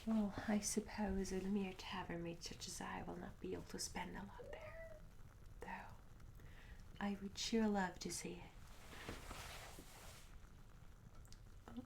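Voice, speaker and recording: A woman's voice: mid-range voice, sing-song, unhurried, noisy background. Woman playing a whispery, curious medieval tavern maid. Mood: wistful. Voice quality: soft, breathy, often whispered. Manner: gentle, polite, old-fashioned storybook phrasing. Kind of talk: soft-spoken ASMR roleplay. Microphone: close ASMR microphone.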